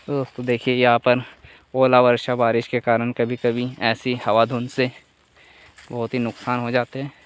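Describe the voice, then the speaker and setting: Young man vlogging outdoors, flat voice. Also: medium pitch, clean tone. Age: young adult. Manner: chatty, addresses viewers as friends. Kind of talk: casual walking farm tour vlog. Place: outdoors on a dry farm among trees. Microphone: phone held at arm's length.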